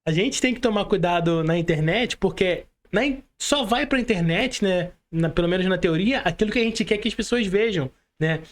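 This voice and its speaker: A man's voice: high voice, normal speed, hardly any pauses. A Brazilian man hosting a casual podcast interview in informal, slangy Portuguese, often checking in with short tag questions. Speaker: podcast host.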